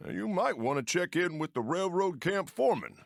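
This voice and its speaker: Lively, folksy Old West train engineer. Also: male voice, high-pitched, normal speed, gravelly, no echo, studio quality, heavily acted. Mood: helpful. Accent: American. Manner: folksy, colloquial drawl of the frontier. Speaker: mission-giver game character. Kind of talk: animated video game voice acting.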